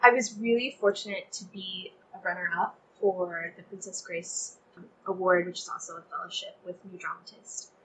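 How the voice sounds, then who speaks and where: A woman's voice: fairly low pitch, steady tone, moderate pace, a bit bright, a little hiss or hum, rough recording. A young woman chatting casually as an interview guest, speaking in an effusive, emphatic way peppered with "like". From Texas, United States. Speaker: playwright guest.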